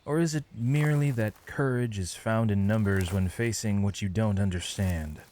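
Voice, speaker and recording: A man playing a captured mage in an audio roleplay, defiant and weary, speaking in measured, sardonic, formal phrases. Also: fairly low pitch, a little mellow, lightly acted. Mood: dry mockery. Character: captured male mage, defiant, weary, eloquent, accused villain. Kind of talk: scripted ASMR audio roleplay. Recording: long reverb, clear background noise.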